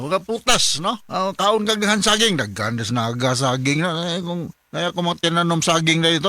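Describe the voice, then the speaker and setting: A man's voice: a little high, bright, forceful tone, no room sound. A middle-aged or older man with a Cebuano Filipino accent, hosting an informal radio advice show in a chatty, rambling way. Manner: rambling, chatty, with frequent chuckles and tag questions. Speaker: radio advice host and doctor.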